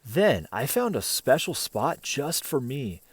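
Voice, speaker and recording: A man reading a children's picture book aloud as an expressive storyteller, pausing for casual asides and questions to the listener. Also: mid-range voice, breathy edge, no echo.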